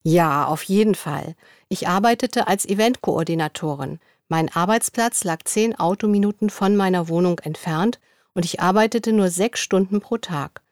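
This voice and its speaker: A young woman in a studio, reading interview answers in a scripted dialogue at an even, clear pace. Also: fairly low pitch, normal speed, no echo.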